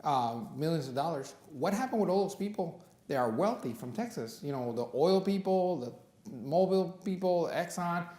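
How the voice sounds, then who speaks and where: A man's voice: a little high, expressive, moderate pace, mellow. Easygoing male co-host in a roomy studio. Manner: easygoing, joking, rambling. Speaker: talk show co-host. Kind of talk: casual studio chat.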